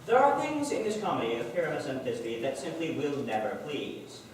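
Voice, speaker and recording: A man's voice: a little high, wildly swooping pitch, brisk, a little hiss or hum. A man playing a fussy, perfectionist amateur actor in a stage play, complaining in a self-important way, recorded from far off in an echoey hall.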